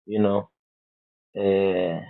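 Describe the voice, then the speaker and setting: A man's voice: low-pitched, level tone, drawn-out words, narrow, tinny audio. A man who grew up in Zimbabwe, a guest on a podcast, chatting casually and teasing the hosts. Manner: joking, playful teasing. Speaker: guest musician.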